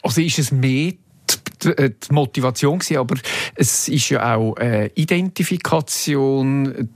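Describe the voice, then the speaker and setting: A man with a Swiss German accent hosting a podcast interview in a measured, explanatory way. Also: medium pitch, dry recording, clean studio sound.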